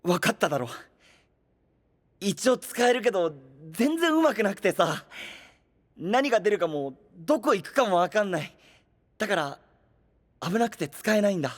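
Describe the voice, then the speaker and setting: An actor playing an earnest, self-doubting young knight in an anime voice drama, with hesitant, boyish, casual speech. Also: male voice, sits high, very animated, normal speed, long pauses. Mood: flustered. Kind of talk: Japanese anime voice drama acting.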